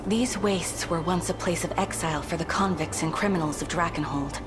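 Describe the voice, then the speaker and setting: A woman's voice: fairly low pitch, normal speed, music in the background, natural voice. A woman playing a composed, loyal companion to a prince in a fantasy video game, speaking formally. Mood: composed, explaining. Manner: formal, poised.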